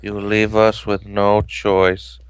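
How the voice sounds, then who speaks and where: Male reader doing a smug stiff cartoon cat, booming from off-mic, slow and low. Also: completely flat delivery, clean tone, dry recording. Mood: solemn.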